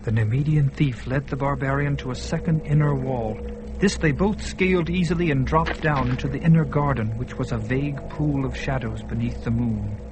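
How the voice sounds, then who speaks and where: A man narrating a vintage radio drama, building tension with hushed and rising passages. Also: medium pitch, moderate pace, noisy background.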